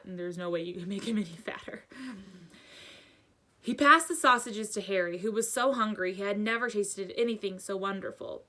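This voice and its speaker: Bright-voiced woman reading a storybook. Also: medium pitch. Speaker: teacher reading aloud. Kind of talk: expressive children's storybook narration.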